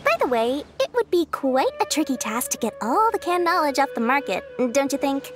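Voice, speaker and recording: A woman's voice: sits high, very animated, normal speed. A woman voicing a small, shrewd, money-loving female merchant in a video game, with wheedling, theatrical sales patter. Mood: sly, persuasive. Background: music.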